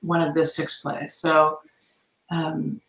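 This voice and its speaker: A woman's voice: low-pitched, level tone, takes it slowly, a bit bright. A woman giving enthusiastic, conversational answers as a guest in an interview. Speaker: guest, theatre arts advocate. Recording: roomy, echoey sound.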